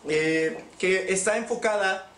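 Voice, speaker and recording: A courteous young man making a hesitant, polite phone pitch, recorded in an echoey living room. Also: slow, a bit bright. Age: young adult. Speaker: prank caller. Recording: echoey room, clearly noisy replayed video.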